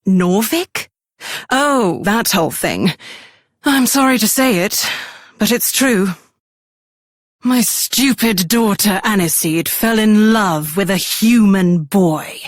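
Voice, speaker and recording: A proud, prickly fairy mother for a video game. She speaks slowly and disdainfully at a middling pitch, recorded dry and close. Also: expressive, no echo. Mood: scornful. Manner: curt, disdainful. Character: grumpy, proud fairy mother who dislikes humans. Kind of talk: video game character voice acting.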